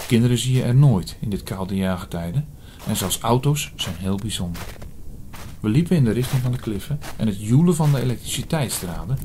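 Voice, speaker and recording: A man playing an earnest priest in an audio drama, telling his story in a measured, formal way. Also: mid-range voice, lively intonation, warm tone, slight background noise, close to an everyday voice. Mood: reflective narration. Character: earnest male priest investigating an apocalyptic prophecy. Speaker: narrator and lead actor. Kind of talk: scripted audio drama, first-person narration. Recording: music under the voice.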